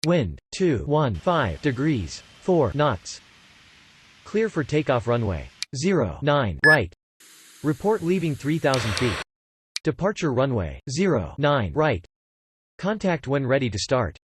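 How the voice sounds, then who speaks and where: A man's voice: medium pitch, big pitch swings, slow pace. Man giving air traffic instructions, noisy audio. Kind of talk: scripted radio phraseology, clipped instructions.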